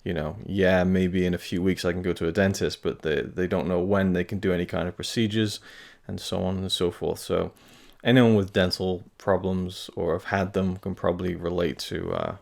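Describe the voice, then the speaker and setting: A man's voice: deep voice, normal speed, gravelly, darkish tone. A man hosting his own channel, giving a casual update to camera in a hesitant, rambling way, talking carefully around tooth pain. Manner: rambling, hesitant, many fillers and restarts. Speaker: film review channel host.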